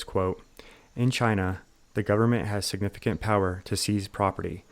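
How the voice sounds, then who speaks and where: Low, flat-voiced man reading history. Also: dry recording. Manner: measured, steady delivery with occasional fillers. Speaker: history podcast host. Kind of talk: reading from documents and solo history podcast talk.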